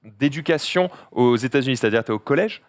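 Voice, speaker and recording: A male stream host puts questions to a guest in a playful, casual way, often stumbling and repeating words. His voice has a middle pitch and sounds very dry and close, with no room around it. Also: expressive, brisk, no echo. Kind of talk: casual interview.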